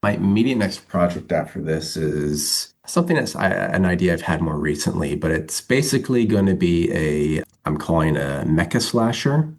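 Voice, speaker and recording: Very low, gravelly-voiced man chatting casually. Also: expressive, normal speed, few pauses. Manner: rambling, thinking aloud. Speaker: interview guest, comic writer. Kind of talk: casual interview chat.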